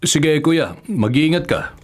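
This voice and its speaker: A man's voice: fairly high pitch, lively intonation, slow pace, a little hiss or hum. A man playing a kind, forgiving older father in a Filipino radio drama. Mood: kindly, placating.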